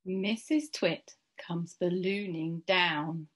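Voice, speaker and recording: A woman in her thirties reading a children's story aloud, deliberately and with dramatic pauses. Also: fairly low pitch, a bit bright, slightly rough. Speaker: school staff member reading aloud. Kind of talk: expressive children's storybook narration. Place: small indoor room at home.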